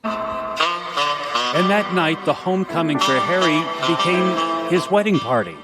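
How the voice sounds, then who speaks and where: A patient storyteller guiding a radio drama. His slightly raised voice explains events in measured phrases over prominent loud music. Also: a little high, animated. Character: patient storytelling narrator, a heavenly guide. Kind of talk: scripted radio play narration. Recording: loud music under the narration.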